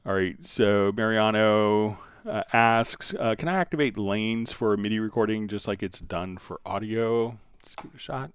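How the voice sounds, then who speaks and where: A man hosting a casual live software tutorial, thinking aloud in an informal way. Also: fairly low pitch, moderate pace, few pauses, smooth, no room sound. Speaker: livestream host, software instructor.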